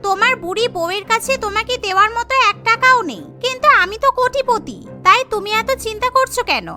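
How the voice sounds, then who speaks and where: Casting: female voice artist as wealthy elderly girlfriend, cartoon. Very high, piercing, coaxing, haughty. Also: sing-song, fast talker, bright, forceful tone, soft background music, full character voice. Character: rich, doting, scheming elderly girlfriend. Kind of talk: Bengali cartoon voice acting.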